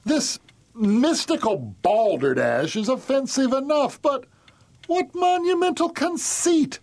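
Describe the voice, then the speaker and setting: An actor playing a skeptical, sardonic Victorian physician in an audio drama, pompous, blustery and scornful in manner. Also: male voice, extremely high pitch, lively intonation, slow, darkish tone, full character voice. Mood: indignant, blustering. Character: indignant, sardonic male Victorian physician, skeptical old friend.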